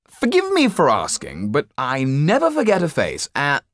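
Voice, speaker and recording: Male narrator does a jittery flatterer, pitched up, eager and frightened. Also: normal speed, no room sound. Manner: flattering, higher and fluttery. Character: fawning, nervous male stage magician.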